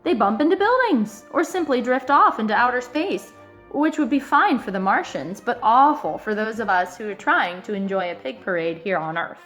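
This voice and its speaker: A woman reading a children's picture book aloud in an expressive, emphatic way, stretching out key words. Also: a little high, normal speed, soft background music. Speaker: storybook reader.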